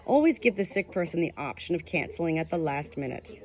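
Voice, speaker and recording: A woman's voice: low-pitched, very animated, fast talker, darkish tone. A woman reading a nonfiction book aloud as scripted audiobook narration. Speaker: audiobook reader.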